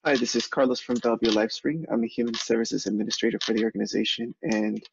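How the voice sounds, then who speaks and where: Gravelly man on a muffled call. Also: medium pitch, steady tone, fast talker. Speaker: human services administrator. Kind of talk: speaking up in a work meeting. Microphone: remote conference call. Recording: dull, slightly noisy conference call.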